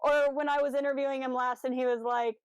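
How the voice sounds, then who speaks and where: A woman's voice: high-pitched, level tone, fast talker, smooth, no room sound, dull audio. A woman co-hosting a casual fan podcast, chatting with playful, jokey enthusiasm and drifting into asides. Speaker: podcast host.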